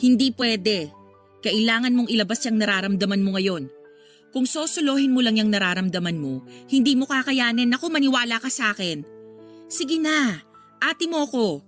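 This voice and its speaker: An actress playing a caring, nosy older sister in a radio drama, insistent and conversational. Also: female voice, a little high, animated, moderate pace, faint music behind, lightly acted. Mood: coaxing, insistent. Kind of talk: Tagalog radio drama acting.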